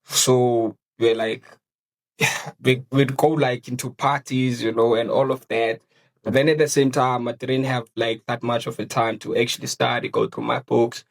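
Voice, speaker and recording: A man's voice: mid-range voice, moderate pace, creaky. Slangy young South African man. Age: young adult. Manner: relaxed, slangy, says bro a lot. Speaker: podcast co-host. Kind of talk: casual chat.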